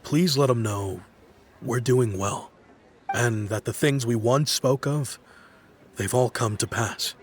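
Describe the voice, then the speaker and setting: Man acting an earnest mid-pitched townsman. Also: slow pace, lightly acted. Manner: polite, earnest. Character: earnest, friendly ordinary townsman, loyal friend. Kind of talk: video game character dialogue.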